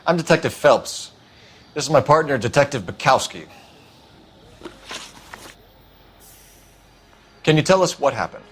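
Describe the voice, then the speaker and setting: An actor playing a businesslike, determined police detective in a video game, with a clipped, authoritative manner. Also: male voice, mid-range voice, animated, brisk, fairly bright tone, slight background noise, natural voice. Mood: polite questioning.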